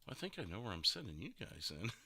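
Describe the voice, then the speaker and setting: A man's voice: fairly low pitch, sing-song, quick pace, a crowd in the background. A man hosting a podcast in casual chat, with hesitant, rambling phrasing. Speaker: podcast host.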